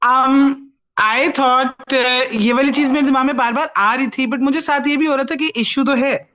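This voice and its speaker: A woman's voice: high voice, steady tone, a little slow, fairly bright tone. A woman with a Pakistani accent hosting a casual film review chat, mixing Urdu with English phrases. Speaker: talk show host and film reviewer.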